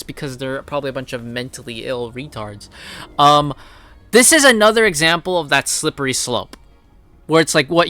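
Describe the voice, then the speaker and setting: Male online commentary host: indignant, opinionated, sharp-edged voice, off the cuff, dry sound. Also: on the high side, no room sound. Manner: indignant, blunt, swearing emphatically. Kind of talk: unscripted opinionated news commentary.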